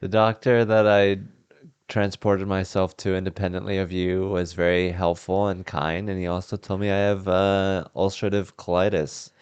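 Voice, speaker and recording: A man hosting a podcast, improvising comedy scenes in a deadpan way and laughing often. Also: low voice, normal speed, smooth, dry recording, highs cut off. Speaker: podcast host. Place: house.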